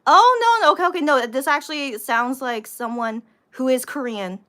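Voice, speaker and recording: An American woman hosting a casual livestream, rambling to viewers and repeating herself with animated emphasis, sounding somewhat tired. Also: sits high, moderate pace. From United States. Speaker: livestream host, vlogger.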